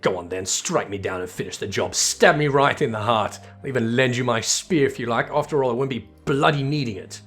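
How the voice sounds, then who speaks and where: A man's voice: mid-range voice, a little fast, hardly any pauses, fairly bright tone, soft background music, natural voice. Male reader as boisterous fantasy-game mercenary, sardonic, theatrical, old-fashioned phrasing. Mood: mock bitter.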